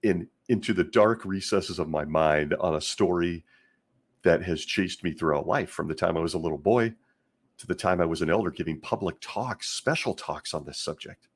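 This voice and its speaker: Deep-voiced male host, hoarse and scratchy from being sick, wry and sarcastic delivery. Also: normal speed. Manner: wry and sarcastic, chuckling often. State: hoarse from illness. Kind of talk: casual commentary vlog.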